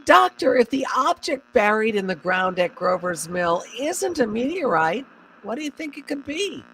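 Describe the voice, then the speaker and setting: A woman's voice: on the high side, very animated, normal speed, fairly bright tone, far from the mic, slight background noise. An actress playing a composed female radio news announcer, delivering bulletins formally in an old-time radio drama. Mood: courteous, inquiring.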